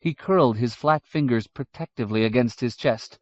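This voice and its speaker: A man reading a fantasy novel aloud in a measured, even storytelling manner. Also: medium pitch, no room sound. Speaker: audiobook narrator. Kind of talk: scripted fantasy narration. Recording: dull dry audiobook recording.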